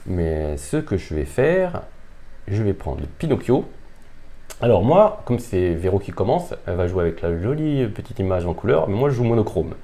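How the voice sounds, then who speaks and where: A man's voice: fairly low pitch, very animated, normal speed, mellow. A man presenting and playing a board game in a casual, unscripted demonstration, thinking aloud hesitantly as he explains. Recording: background noise, room ambience.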